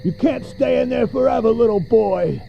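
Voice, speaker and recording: A man voicing a menacing vampire who shouts tauntingly in a shrill, creaky voice, in a told story. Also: moderate pace, muffled recording, soft background music, full character voice. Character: menacing shrieking vampire calling out to a boy.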